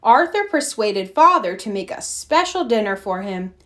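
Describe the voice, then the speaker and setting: A woman reading a children's storybook aloud with expressive, deliberate narration. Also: medium pitch. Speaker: storybook reader.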